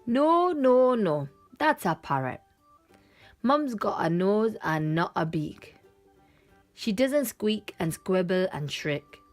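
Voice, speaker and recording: A woman's voice: mid-range voice, very animated, slow pace, soft background music. Woman voicing a exasperated little lost monkey child. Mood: exasperated, protesting. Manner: emphatic, protesting. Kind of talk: children's picture book read-aloud.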